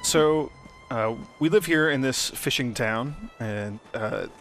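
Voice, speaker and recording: A man with a mid-pitched voice talks casually through a video game. His delivery is wry and understated, and game music plays clearly behind him. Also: animated, slow, a bit bright. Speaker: let's play host. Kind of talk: casual gameplay commentary. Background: game soundtrack.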